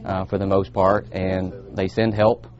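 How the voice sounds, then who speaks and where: Male interviewee, flat intonation, fairly low voice, news soundbite. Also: a little slow, dull audio. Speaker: emergency communications manager.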